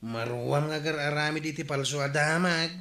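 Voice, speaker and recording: Highish, echoey concerned village man. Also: fairly even intonation, a little fast, sharp tone. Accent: Filipino, Ilocano. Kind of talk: comedy radio drama acting.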